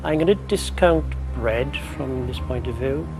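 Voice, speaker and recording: Slow, hesitant Welsh man in his fifties. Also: medium pitch, music in the background, poor audio. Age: 50s. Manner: slow, hesitant, thinks aloud. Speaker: quiz contestant. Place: TV studio.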